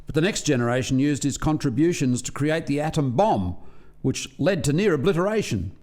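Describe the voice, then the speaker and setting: An actor playing an earnest alien lab scientist in a radio play, explaining things in a measured, articulate way. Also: male voice, on the high side, lively intonation, a little mellow, natural voice. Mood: grave, explanatory. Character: earnest alien male lab scientist defending a failed experiment.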